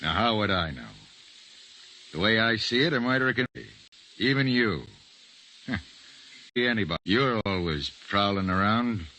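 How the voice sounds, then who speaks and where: An actor playing a gruff, suspicious, folksy police sergeant in an old-time radio drama. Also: male voice, fairly low pitch, lively intonation, moderate pace, long pauses, bright, forceful tone. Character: gruff, suspicious male police sergeant, secretly a mad killer. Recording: noisy old radio recording.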